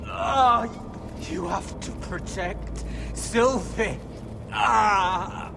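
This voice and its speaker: An actor playing a dying young fighter in great pain, weak and pleading, gasping and crying out. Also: male voice, high voice, drawn-out words, vocal fry, background noise, full character voice. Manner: weak, pleading, breaking into cries. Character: dying young male wildling-country fighter in agony. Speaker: wounded companion.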